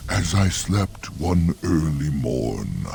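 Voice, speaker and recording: An actor voicing an ancient, courteous, mournful talking tree in a fantasy game, with a deep creaky voice and solemn, archaic rhyming verse. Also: male voice, very animated, slow, mellow, a little hiss or hum, full character voice.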